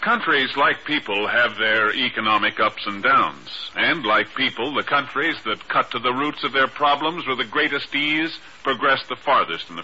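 A man's voice: medium pitch, bright, forceful tone, a little hiss or hum. A man reading a script as a 1950s radio announcer, formal and emphatic in a declamatory way. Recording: muffled early-1950s radio recording.

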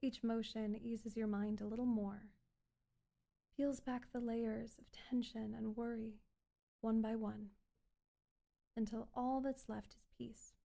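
A woman performing an ASMR hypnosis roleplay as a calm, controlling doctor, speaking in a soothing, repetitive, coaxing way. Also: fairly high pitch, slow, gravelly, long pauses. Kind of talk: ASMR hypnosis roleplay, guided relaxation script.

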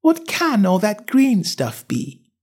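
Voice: medium pitch, huge pitch swings, slow pace, a little mellow, pitched above the performer's own voice, exaggerated voice. A storybook reader voicing a polite, puzzled little girl who is earnest, wondering and easily indignant. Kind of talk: storybook character acting.

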